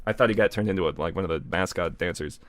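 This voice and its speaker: A man's voice: low voice, very fast. A man co-hosting, reading fan fiction aloud and breaking off to comment casually.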